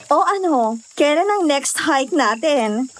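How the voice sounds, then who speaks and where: A woman's voice: high-pitched, expressive, slow pace, soft background music. A woman with a Filipino accent playing a cheerful, skeptical young hiker in a conversational audio drama. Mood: chatty, dismissive.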